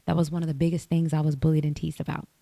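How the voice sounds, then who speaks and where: A woman guest on a podcast, telling stories in an animated way and laughing often. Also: deep voice, very fast, vocal fry, no room sound. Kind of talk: casual podcast conversation.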